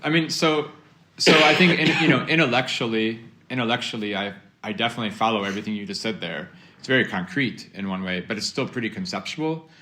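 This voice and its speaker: A man's voice: fairly low pitch, quick pace, sharp tone. A man hosting a podcast conversation, asking his guest questions in rambling bursts. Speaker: podcast host. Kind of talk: conversational interview.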